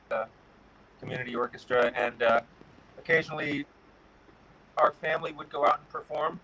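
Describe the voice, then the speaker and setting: Middle-aged guest from Connecticut, bright forceful tone, relaxed halting answers, faint hiss. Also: male voice, a little high, low-quality recording. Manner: relaxed, pauses with many "uh" fillers. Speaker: guest, guitar builder. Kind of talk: casual interview answers.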